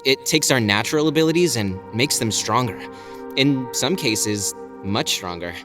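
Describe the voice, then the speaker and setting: A man's voice: mid-range voice, lively intonation, normal speed, music in the background, close to an everyday voice. A man playing an eager, boyish alien fighter in an audio drama, casual, chatty and playfully cocky. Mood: enthusiastic. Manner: casual, hesitant then enthusiastic.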